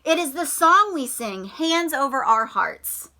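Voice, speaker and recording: Female schoolteacher for a children's read-aloud lesson; bright, clearly forward tone, slow and deliberate, expressive delivery. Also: sits high. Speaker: elementary school teacher.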